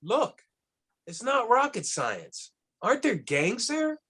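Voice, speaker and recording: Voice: mid-range voice, wildly swooping pitch, slow, fairly bright tone, slightly rough, full character voice. An actor playing a ruthless, scheming boss in a screenplay table read, blunt and commanding. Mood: cold, demanding.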